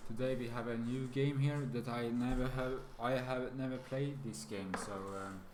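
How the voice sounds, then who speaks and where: A man who is not a native English speaker, commenting on a game he is playing for the first time. He speaks in short, halting phrases, often stopping to search for words. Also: medium pitch, fairly even intonation, darkish tone, reverberant, a little hiss or hum. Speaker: gaming YouTuber. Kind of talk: casual let's-play commentary.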